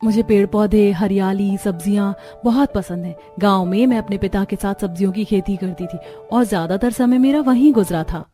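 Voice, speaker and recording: A woman's voice: mid-range voice, moderate pace, few pauses, mellow, faint music behind, lightly acted. A woman voicing a sweet, eager young village bride in a cartoon story. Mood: warm, admiring.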